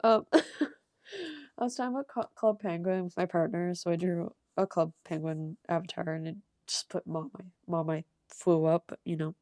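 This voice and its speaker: Young woman, slightly hoarse from a cold, low-ish mellow voice, casual rambling talk. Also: no echo, clean studio sound. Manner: casual and rambling, with frequent ums and soft laughs. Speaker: art channel creator. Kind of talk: casual sketchbook tour voice-over.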